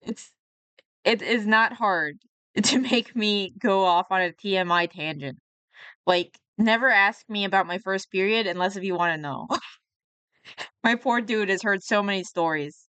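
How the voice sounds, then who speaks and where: A woman's voice: mid-range voice, unhurried, fairly bright tone, smooth, dry recording. A young woman streaming live, chatting casually about herself and reacting to a video, often laughing and poking fun at herself.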